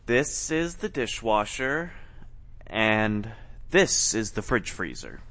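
A man's voice: on the low side, sing-song, slow, fairly bright tone, heavily acted. An actor playing a patient, guarded male estate agent in an audio play, polite and salesmanlike. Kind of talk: two-person audio play acting.

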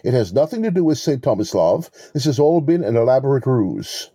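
A sly male swindler in a mystery audio play, acted by a man. His voice is very dark and husky, and it swings freely in pitch. He speaks with measured, formal condescension, as if always holding something back. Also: big pitch swings, no echo, studio quality. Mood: smug, scornful. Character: wily, devout-acting male relic con artist.

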